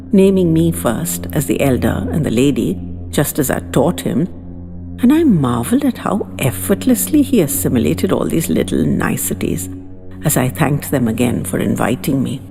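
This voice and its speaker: A genteel woman in her seventies, acted by a female narrator tells her story with animated, swooping intonation. Her voice sits slightly low, in long reverb over music. The wording is old-fashioned and dramatic, in the manner of an audio story. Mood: reflective. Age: 70s.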